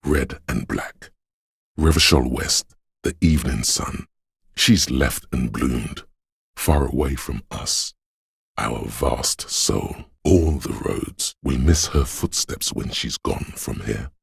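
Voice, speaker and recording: An actor voicing a brooding, poetic, disembodied voice of a windswept city in a video game, with solemn, hushed and deliberate narration in a gravelly, husky bass. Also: male voice, no room sound, studio quality, heavily acted. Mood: somber, atmospheric, then wistful, mournful. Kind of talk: dramatic scripted game narration.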